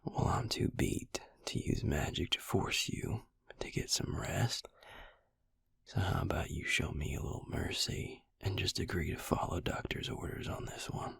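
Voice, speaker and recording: A gruff but caring vampire healer played by a man murmurs close and dry in an intimate audio roleplay. His voice is very low and thick with gravel, often falling to a whisper. He is sardonic and teasing, with tenderness underneath. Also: wildly swooping pitch, a little slow, a bit bright, no room sound, heavily acted. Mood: tired, fond. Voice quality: breathy, husky, gravelly near-whisper.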